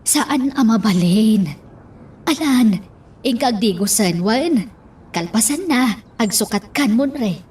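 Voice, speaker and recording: A woman's voice: on the high side, expressive, slow, long pauses, a little mellow, slightly rough, far from the mic. A woman acting in an amateur radio drama, urgently telling someone to get ready and leave.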